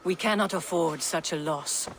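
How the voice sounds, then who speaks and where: An actor playing a level-headed, cautious Norse god in a video game, reasoning firmly. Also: male voice, a little high, moderate pace, a bit bright, a little hiss or hum. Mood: measured, firm. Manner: firm, reasoning. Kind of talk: dramatic video game acting.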